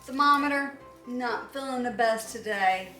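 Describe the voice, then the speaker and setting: A woman with a fairly high, bright voice chats and banters casually in a home vlog. She sounds slightly off the mic in a roomy indoor space. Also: unhurried, distant mic. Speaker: co-host of a home unboxing vlog. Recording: roomy echo, off the mic, faint music.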